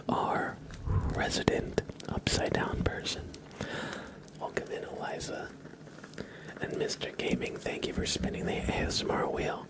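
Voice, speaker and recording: A man hosting an ASMR livestream, chatting casually to viewers in a hushed, soothing whisper. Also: high voice, gravelly. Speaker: livestream host.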